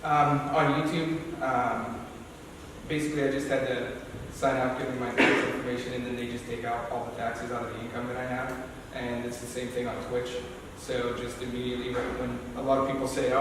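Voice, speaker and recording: A man on a panel giving straightforward, practical advice. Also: mid-range voice. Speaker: panelist, streamer. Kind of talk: panel discussion. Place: library event room. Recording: echoey hall, mic far off, noisy.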